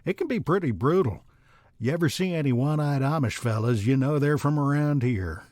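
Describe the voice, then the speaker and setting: A man plays a dry, level-headed small-town shopkeeper in a comedy audio play. His mid-pitched voice is deadpan and folksy, patiently explaining things. The sound is close, with no room to it. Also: moderate pace, no echo, lightly acted. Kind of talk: comedic audio drama dialogue.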